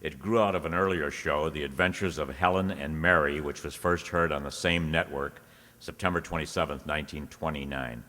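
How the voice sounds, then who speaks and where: A man's voice: rumbling low, fairly even intonation, brisk, pushed and bright. A man giving a scripted introduction as the announcer for a radio play performance. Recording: echoey hall with long reverb.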